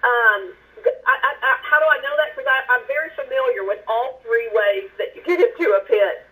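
A woman minister, a guest on the show, telling her personal testimony in an earnest, halting way, often restarting and repeating words. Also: high voice, sharp tone, poor audio. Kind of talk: personal testimony in a faith interview.

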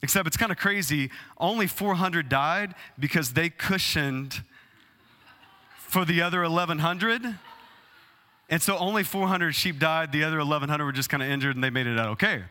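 A man preaching in a church sanctuary, his voice a little high, bright and forceful. He keeps things conversational and talks straight to the people in the pews. A long hall reverb trails behind each phrase. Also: normal speed. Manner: conversational, addresses the congregation directly. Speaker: pastor. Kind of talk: sermon.

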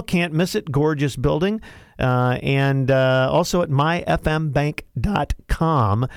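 A man hosting a local radio show in a folksy, easygoing way. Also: fairly high pitch. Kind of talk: casual radio interview. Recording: dry studio sound.

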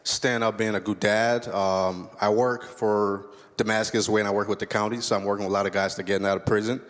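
A man's voice: lowish voice, little pitch movement, brisk. A man from Liberia speaking at a church service, earnest and candid as he reflects on his life. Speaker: guest speaker sharing testimony. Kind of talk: personal testimony on stage. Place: church stage. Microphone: stage microphone. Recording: long reverb of a large hall.

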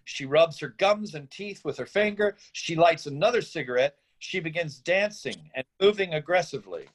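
A man's voice: fairly high pitch, animated, moderate pace. A man reading out stage directions and scene recaps as scripted narration for a screenplay reading.

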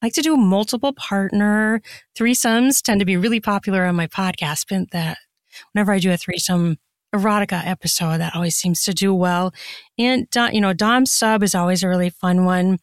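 A woman speaks in a medium-pitched voice with an easy, chatty flow. She sounds like a podcast guest giving casual, expansive answers. The sound is dry, with very little room in it. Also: fairly quick, no room sound. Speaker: podcast guest, erotica author and audiobook narrator. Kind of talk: casual conversational interview answers.

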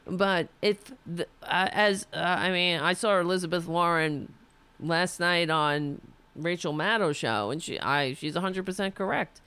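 Fiery, hoarse woman ranting slowly. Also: fairly low pitch, a bit bright. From United States. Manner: fiery, ranting, restarts mid-sentence. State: losing her voice. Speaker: political show host. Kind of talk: impassioned political monologue.

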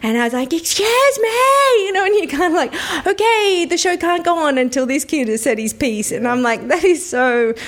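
A woman's voice: high-pitched, moderate pace, hardly any pauses. Aussie woman in her 30s, young sounding voice, chatty guest, does little playful voices when quoting folks. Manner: animated, slips into playful quoted voices. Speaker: children's entertainer guest. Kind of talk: casual interview chat.

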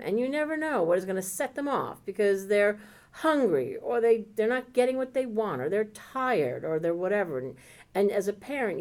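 A woman's voice: mid-range voice, a little mellow. A woman co-hosting a podcast, talking enthusiastically about a favorite book in a rambling, casual way. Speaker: podcast co-host. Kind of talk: casual chat, book recommendations.

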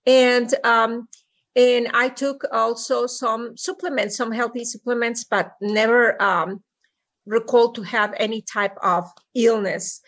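A middle-aged woman from Mexico with a Mexican Spanish accent, a podcast guest telling her story over a remote call, with frequent pauses and restarts. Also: mid-range voice, a bit bright. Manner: halting, many ums and restarts. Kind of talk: personal story in an interview.

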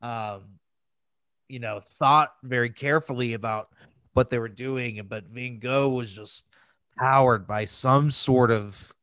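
A man's voice: mid-range voice, steady tone, slow, fairly bright tone, dry recording. A man hosting a podcast, chatting casually about films in a crass, emphatic and gushing way. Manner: crass, freely swearing. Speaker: podcast host. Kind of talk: casual film review chat.

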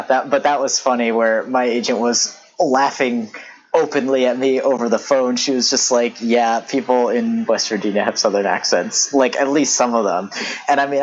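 A woman's voice: a bass voice, dull audio, slight background noise. A woman novelist chatting casually and animatedly, laughing often and using lots of fillers. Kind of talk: casual podcast chat.

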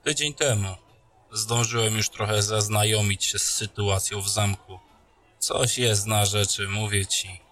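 A man's voice: lowish voice, fairly even intonation, unhurried, a bit bright. A man voicing a jokey captain greeting an old friend in a fantasy audio drama, teasing and friendly.

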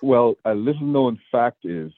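A man in his sixties with a Jamaican accent, a state division director speaking earnestly and deliberately in a radio interview. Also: medium pitch, lively intonation, no room sound. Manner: earnest, measured, with restarts. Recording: dry, phone-like radio line.

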